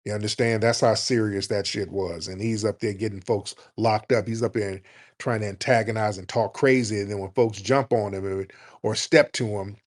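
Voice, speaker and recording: Lowish-voiced guy hosting a call-in show, blunt, cussing, worked up. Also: moderate pace. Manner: blunt, profane and animated, mocking others in a high put-on voice. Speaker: host.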